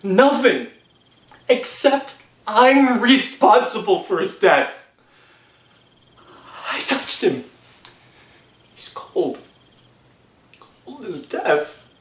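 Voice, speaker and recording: A man's voice: high voice, very animated, extremely slow, long pauses, roomy sound, a little hiss or hum, pitched above the performer's own voice, heavily acted. A man playing a trembling, guilt-ridden young man pleading in an agitated way in a horror radio drama. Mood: urgent, guilty. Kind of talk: old-time horror radio drama acting.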